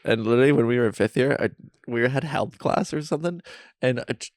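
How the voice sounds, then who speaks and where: A man's voice: medium pitch, fast, no room sound, clean studio sound. A young man co-hosting a podcast in a friend's basement, rambling through stories and poking fun at himself. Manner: rambling storyteller, self-mocking. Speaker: podcast co-host. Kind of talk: casual podcast chat.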